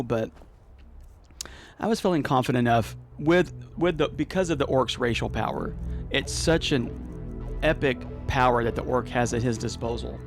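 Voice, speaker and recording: A man with a Texas drawl narrates his video game footage in a chatty, excitable way, full of casual filler words. His voice sits at a middle pitch for a man. Game music plays clearly underneath him. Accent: Texan. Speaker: gaming YouTuber. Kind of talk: let's play voiceover commentary.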